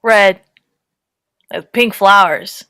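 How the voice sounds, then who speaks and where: A woman's voice: mid-range voice, drawn-out words, bright, forceful tone, smooth, exaggerated voice. A woman on stage playing a weary but playfully inventive woman sifting through fire ruins, in naturalistic stage acting. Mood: musing. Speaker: actor in a staged play reading.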